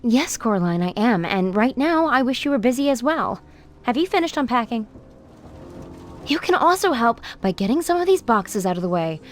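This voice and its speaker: A busy mother, talks in a fairly high, very expressive voice. She is brisk, exasperated and firm, dismissive in the manner of a video game parent. Light music plays underneath. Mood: harried. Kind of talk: video game cutscene voice acting with tutorial instructions.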